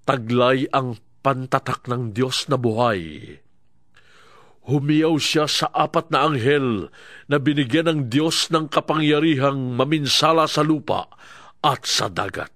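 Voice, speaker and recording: A man reading dramatic Bible narration in a solemn, deliberate manner. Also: mid-range voice, fairly bright tone, dry recording, clean studio sound.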